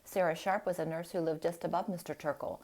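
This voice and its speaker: A woman reading a storybook aloud in an expressive style, with deliberate, dramatic phrasing. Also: fairly low pitch. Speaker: storybook reader.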